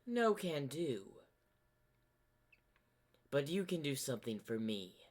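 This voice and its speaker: A man voicing a sinister corrupted double of a zebra hero, smug and menacing, with theatrical, taunting, drawn-out phrasing. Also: medium pitch, very animated, pitched above the performer's own voice. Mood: smug, teasing.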